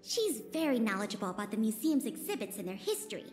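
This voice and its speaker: A woman's voice: on the high side, sing-song, fast talker, darkish tone, in a large hall, soft background music. An actress playing a polite young female officer in a video game, speaking formally and articulately. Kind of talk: scripted video game dialogue.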